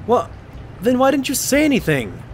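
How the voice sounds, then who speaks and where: An actor playing a mild, earnest pony doctor who narrates and speaks politely as a detective's companion in an audio drama. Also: male voice, very high-pitched, wildly swooping pitch, normal speed, echoey room, distant mic, slight background noise, exaggerated voice. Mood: puzzled, polite. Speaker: narrator and sidekick.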